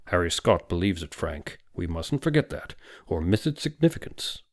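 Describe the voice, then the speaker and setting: A man's voice: low voice, big pitch swings, moderate pace. A man voicing a vehement, scheming older male psychiatrist in an audiobook, emphatic and condescending. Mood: musing, intense. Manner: emphatic, exclamatory, argumentative. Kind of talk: audiobook character voice.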